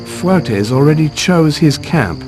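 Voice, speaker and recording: A suave swashbuckling video game hero. He is confident and gallant, speaking tersely with teasing charm and plenty of melodic movement at a medium pitch. Music is clearly audible underneath. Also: lively intonation, slow pace. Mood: purposeful. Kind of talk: scripted video game cutscene acting.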